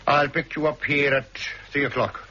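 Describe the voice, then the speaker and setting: A man with a Scottish Highland accent playing a plain-spoken island boatman in a 1960s radio drama, giving practical warnings in a measured, matter-of-fact way. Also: little pitch movement, sharp tone. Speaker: local boatman and guide. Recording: dull archive radio recording with faint hiss.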